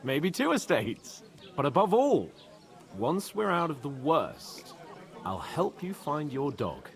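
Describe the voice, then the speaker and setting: An actor playing a pompous, excitable young nobleman in a video game, theatrical and quick to indignation. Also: fairly high pitch, full character voice. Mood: gracious, condescending. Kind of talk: dramatic video game dialogue.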